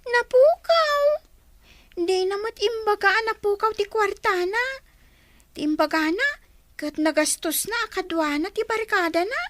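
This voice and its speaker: Woman playing a very high-pitched, pleading schoolgirl. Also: expressive, measured pace, a bit bright, no room sound, full character voice. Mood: defensive. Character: young schoolgirl daughter, earnest and protesting. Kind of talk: radio comedy-drama acting.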